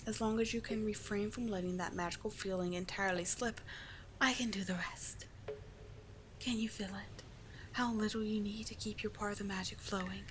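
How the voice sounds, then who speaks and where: Woman voicing a soft, breathy, coaxing sea witch. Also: medium pitch, fairly even intonation, normal speed, a little hiss or hum, natural voice. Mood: soothing, musing. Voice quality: soft, breathy, often near-whispered. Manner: soothing, coaxing, formal storybook diction. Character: cursed sea witch mermaid, doting, teasing, regal mentor. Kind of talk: ASMR roleplay.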